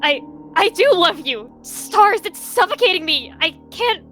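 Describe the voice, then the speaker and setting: A woman's voice: extremely high pitch, big pitch swings, unhurried, faint music behind, voice pushed up, full character voice. A woman playing a distraught, furious warrior cat. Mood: anguished. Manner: desperate, shouting and breaking into cries. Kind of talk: voice-acted roleplay dialogue.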